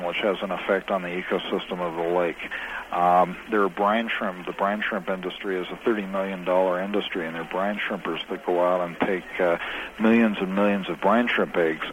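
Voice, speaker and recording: A man's voice: low-pitched, steady tone, moderate pace, slight background noise, low-quality recording. A man who works as a newspaper reporter, answering interview questions in a hesitant way with many filler sounds.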